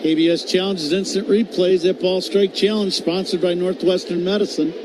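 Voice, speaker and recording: A man's voice: high-pitched, flat delivery, normal speed. A man giving conversational color commentary on live baseball radio, explaining the plays. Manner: conversational, explains each play. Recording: loud constant stadium noise behind.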